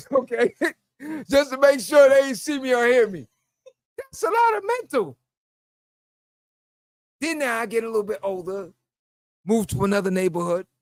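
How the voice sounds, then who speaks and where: A man's voice: very high voice, very animated, moderate pace, pauses often, no room sound, clean studio sound. A middle-aged man with a New York accent, casually telling stories on a podcast and laughing as he goes, acting out the voices he quotes. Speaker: podcast host. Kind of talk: casual storytelling.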